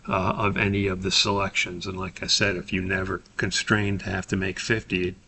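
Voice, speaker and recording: Man, gravelly low voice, flat intonation, methodical tutorial delivery, faint background noise. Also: a little fast. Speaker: instructor. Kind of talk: screen-share software tutorial, explanatory.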